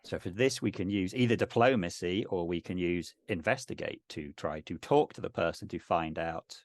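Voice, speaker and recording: A middle-aged man casually narrating a tabletop game, thinking aloud in a halting way. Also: low voice, no room sound, studio quality. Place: indoors on a video call.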